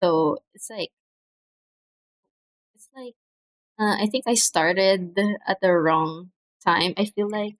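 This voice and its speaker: Soft-spoken young woman streamer, dry sound. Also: mid-range voice, slow pace, long pauses, no room sound. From Philippines. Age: young adult. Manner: soft-spoken, hesitant, many fillers. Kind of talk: casual livestream chat. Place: home room. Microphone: cheap desk mic.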